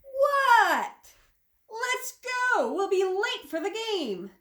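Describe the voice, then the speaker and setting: A woman voicing an excitable, scatterbrained young boy frog in a children's storybook, exclaiming loudly and eagerly. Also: extremely high pitch, extremely slow, voice pushed up, heavily acted.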